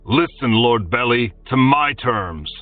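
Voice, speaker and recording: A man's voice: mid-range voice, lively intonation, slow, no room sound, far from the mic, phone-quality sound, faint music behind, voice pushed up. A man voicing a defiant young swordsman who cheerfully taunts his enemy.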